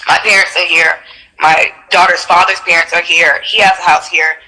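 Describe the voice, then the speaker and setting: A woman in her thirties, a reality TV personality and singer, chatting in a casual interview with a stuffy nose, animated and slangy, laughing often. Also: on the low side, moderate pace, poor audio.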